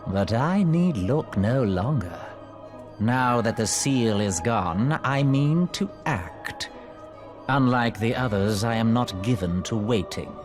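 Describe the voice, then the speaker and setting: An actor playing an arrogant, sinister sorcerer villain in a video game, with pompous, theatrical, archaic diction. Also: male voice, medium pitch, lively intonation, slow, music in the background. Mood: haughty, menacing. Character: arrogant, sinister male dark sorcerer villain, gloating and condescending.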